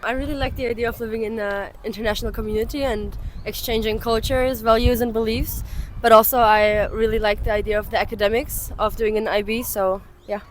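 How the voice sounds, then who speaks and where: A young woman from Germany with a fairly high voice answers in a loose, casual way, often using "like" as a filler. Faint background noise sits under her voice. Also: fairly even intonation, brisk. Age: young adult. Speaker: student interviewee.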